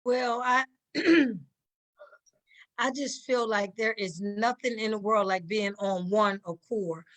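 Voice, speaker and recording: A woman's voice: mid-range voice, slow pace, fairly bright tone. A woman speaking as an interview guest, conversational and emphatic, acting out little exchanges as she explains. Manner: emphatic, acts out quoted exchanges. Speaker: cast member, interview guest.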